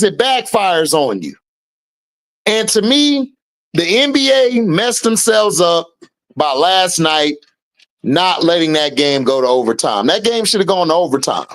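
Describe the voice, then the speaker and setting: A man, hosting a casual sports stream, blunt and heated and swearing freely. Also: high-pitched. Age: 30s. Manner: blunt and heated, swearing freely, rising loudly when riled. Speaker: host of an online sports and commentary stream. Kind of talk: casual opinionated commentary, reacting to chat.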